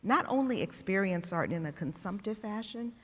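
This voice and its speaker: A woman's voice: mid-range voice, animated, normal speed, breathy edge. A woman planner on a panel, thinking aloud with fillers and rhetorical questions. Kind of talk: panel discussion. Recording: long reverb of a large hall.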